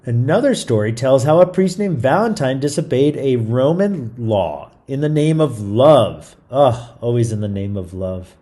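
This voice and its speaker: A man's voice: medium pitch, lively intonation, a little slow, hardly any pauses, dark tone. A man hosting a story time, reading a picture book aloud in an informal, chatty way with small stumbles and asides. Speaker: read-aloud storyteller, show host.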